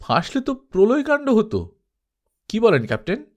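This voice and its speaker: A man's voice: high voice, wildly swooping pitch, normal speed, a little mellow, pitched above the performer's own voice. A man voicing a brash, hot-tempered male adventurer, grumbling and defiant, in a dramatised audiobook. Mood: taunting.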